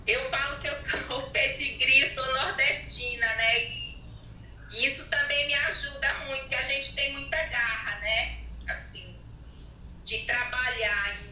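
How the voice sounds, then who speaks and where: A woman from Alagoas in Brazil, possibly, speaking slowly and haltingly with many pauses as a guest in a casual interview. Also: high voice, bright, forceful tone, a little hiss or hum. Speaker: network marketing participant, guest. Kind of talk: hesitant conversational testimony. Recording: echoey distant mic with faint ambience.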